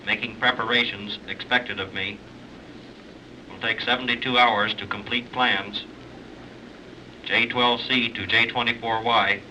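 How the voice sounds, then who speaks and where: Brief: terse shortwave operator, flat low voice, very piercing, distant, old radio drama. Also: male voice. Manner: clipped, monotone message delivery. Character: terse, businesslike male radio operator calling a boat. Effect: shortwave radio transmission. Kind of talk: 1930s radio serial acting. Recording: harsh, distant, hissy radio sound.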